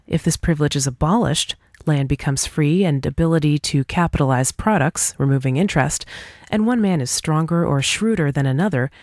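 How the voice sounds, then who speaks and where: A woman reading the part of a confident, pointed individualist debater in an audiobook dialogue, articulate and deliberate. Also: low-pitched, a little mellow, no room sound, studio quality, natural voice. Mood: firm, reasoning. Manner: articulate, deliberate, rhetorical questioning.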